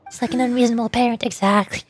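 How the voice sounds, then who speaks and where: A woman's voice: a little high, fairly even intonation, very fast. A woman streamer giving casual, chatty, playful commentary on a game. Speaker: streamer, VTuber.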